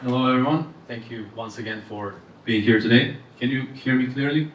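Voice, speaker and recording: A man's voice: medium pitch, fairly quick, dull audio. A young man with an Eastern European accent giving a live product presentation to a room. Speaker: fintech presenter. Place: indoor event room, speaking to audience. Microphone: handheld microphone heard from far off. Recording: roomy, distant event-hall sound with noise.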